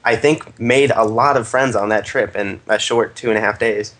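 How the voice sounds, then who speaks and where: A man's voice: fairly low pitch, normal speed, a bit bright. A man guesting on a gaming podcast, recounting things casually and fluently with few fillers. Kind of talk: casual storytelling.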